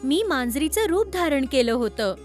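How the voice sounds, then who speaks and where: A woman's voice: high voice, big pitch swings, moderate pace, faint music behind. A woman voicing a hurt, stern mother goddess who scolds and admonishes in a children's cartoon story. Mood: hurt, reproachful. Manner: reproachful, admonishing.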